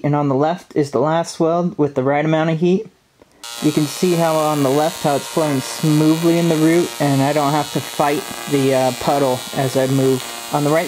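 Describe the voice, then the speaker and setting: A man in his thirties calmly narrating welding footage, explaining step by step what he is doing. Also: a little high. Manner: calm, step-by-step technical explanation. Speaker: welding instructor. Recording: voice-over with loud welding arc hum behind.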